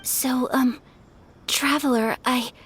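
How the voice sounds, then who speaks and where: Casting: a gentle, refined young noblewoman in an anime game; high, polite, softly hesitant voice. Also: fairly even intonation, slow, a little hiss or hum. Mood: shy, hesitant. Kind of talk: anime-style video game voice acting.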